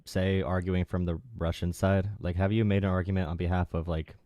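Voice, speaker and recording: A man hosting a call-in debate, pressing a caller with calm, measured questions. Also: sits low, dry recording, clean studio sound. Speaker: host.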